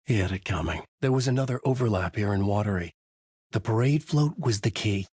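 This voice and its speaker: A brooding, haunted horror novelist for a game, speaking in a low, husky register. He delivers grim noir-style inner monologue, close and dry with no room sound around him. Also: animated, fairly quick, darkish tone, dry recording. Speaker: narrator. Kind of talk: video game voice lines.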